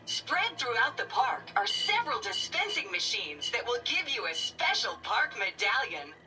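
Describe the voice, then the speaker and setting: Super chirpy robot guide lady, high and bouncy, tinny far-off speaker. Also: very animated, normal speed, pushed and bright, soft background music. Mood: chipper. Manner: bubbly scripted sales cheer.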